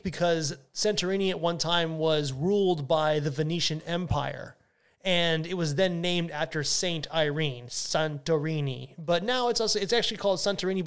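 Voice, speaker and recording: A man's voice: a little high, clear, resonant tone. Chatty man hosting a wine podcast. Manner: enthusiastic, chatty, restarts sentences. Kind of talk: casual educational monologue.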